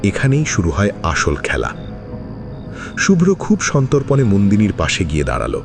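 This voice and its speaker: A man's voice: on the low side, huge pitch swings, moderate pace, vocal fry, music in the background. A man narrating a suspense thriller in a dramatic, scripted storytelling style.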